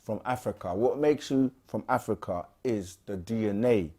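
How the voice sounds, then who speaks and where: Man, low mellow voice, casual livestream host, loose, lots of ums. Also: normal speed. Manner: relaxed, frequent "um" and restarts, reads questions aloud. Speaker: co-host answering viewer questions.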